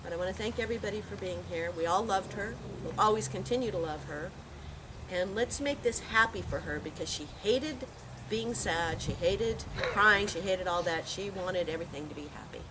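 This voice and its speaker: A woman's voice: mid-range voice, normal speed. Woman giving a eulogy, noisy outdoor recording. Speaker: eulogy speaker at a memorial. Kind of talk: heartfelt eulogy to a gathering. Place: outdoor park memorial gathering. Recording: noisy outdoor park ambience.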